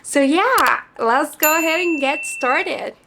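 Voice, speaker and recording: A young woman in her twenties with a slightly raspy, hoarse voice, chatting casually while getting ready, full of fillers. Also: high voice, lively intonation, takes it slowly, noisy background. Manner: chatty, many fillers like "like" and "you know". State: hoarse from singing. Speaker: beauty vlogger. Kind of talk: casual get-ready-with-me chat.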